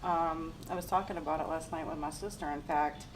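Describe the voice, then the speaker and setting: A middle-aged woman from Louisiana speaking gently about her daughter in an interview. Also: low-pitched, fairly even intonation, a little fast. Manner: gentle, laughs between thoughts. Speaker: interviewee, mother. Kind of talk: casual interview. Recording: distant mic, noisy ambience.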